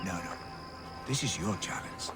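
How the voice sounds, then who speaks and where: An actor playing a confident Viking leader in a video game, composed and wry in manner. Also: male voice, medium pitch, huge pitch swings, moderate pace, music in the background. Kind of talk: video game character acting.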